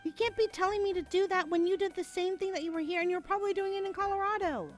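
High-voiced, flustered younger sibling played by a woman. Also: fairly even intonation, fast talker, soft background music. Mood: indignant. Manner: hesitant, flustered, stammering. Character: nervous, defiant younger sibling hiding a friend. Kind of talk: amateur audio roleplay acting.

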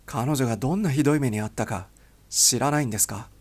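A man's voice: mid-range voice, fast talker, close to an everyday voice. A man voicing an impassioned young secret agent who protests urgently, anguished and defiant. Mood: indignant, pleading.

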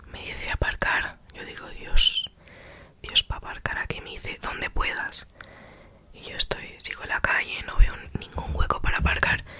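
Whispering woman with a Spain Spanish accent. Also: moderate pace. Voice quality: whispered, breathy unvoiced voice. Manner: colloquial, animated retelling with acted-out dialogue. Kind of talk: whispered ASMR storytime, casual first-person anecdote.

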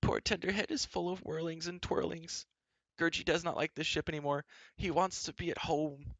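A man's voice: on the high side, steady tone, moderate pace, gravelly, fairly bright tone, no room sound, voice pushed up. A man voicing a whimpering, childlike, self-pitying creature in a storybook, speaking of itself in third person with rhyming baby talk. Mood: miserable whining. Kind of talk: audiobook character voice.

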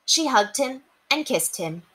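Very animated young woman reading storybooks. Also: sits high, measured pace, breathy edge, rough recording. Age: young adult. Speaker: children's read-aloud storyteller. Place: small indoor room.

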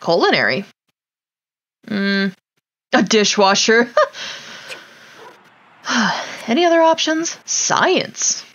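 A woman's voice: fairly high pitch, very animated, drawn-out words, long pauses, a bit bright, dull audio. Wry woman chatting over a life-sim game. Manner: wry, chatty, talks to her characters. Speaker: gaming streamer. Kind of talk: casual gameplay commentary.

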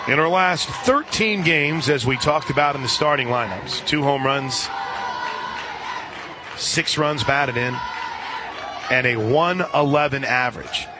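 A man calling softball play-by-play for radio in a conversational, folksy way, with asides between the calls. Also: medium pitch, a little slow, long pauses. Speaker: radio play-by-play sports announcer. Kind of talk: live softball commentary. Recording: crowd murmur behind broadcast voice. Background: outdoor stadium crowd.

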